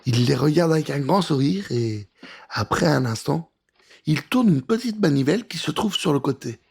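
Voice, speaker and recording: A man narrating a scripted children's adventure story with an expressive, dramatic delivery. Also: on the high side, normal speed, vocal fry, breathy edge. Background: music.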